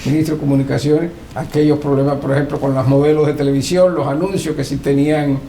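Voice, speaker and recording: A man's voice: a little high, little pitch movement, fast talker, mellow. A mature man with a Cuban accent conducts an oral history interview, building his questions hesitantly in short phrases. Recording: echoey room with faint noise.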